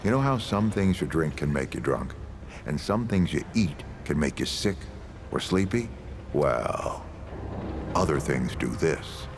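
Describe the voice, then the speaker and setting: An actor playing an old, folksy, world-weary leader and storyteller in a video game, with a gravelly voice and unhurried delivery. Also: male voice, very deep voice, big pitch swings, noisy background, heavily acted. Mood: wry, welcoming. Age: older. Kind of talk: video game character dialogue.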